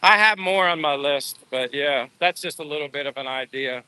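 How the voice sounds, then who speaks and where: A man's voice: on the high side, moderate pace, sharp tone, clean tone, low-quality recording. A man hosting a livestream, chatting casually and ramblingly with his viewers in an informal, buddy-like way. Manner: informal, rambling, addresses viewers as bro and dudes. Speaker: livestream host. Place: outdoors, walking.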